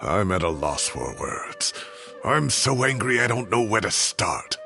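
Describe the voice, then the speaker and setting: An actor playing a fussy, indignant retired professor of advanced age, grumbling and theatrical, in a game scene with faint music. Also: male voice, fairly low pitch, big pitch swings, a little slow, gravelly, full character voice. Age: older.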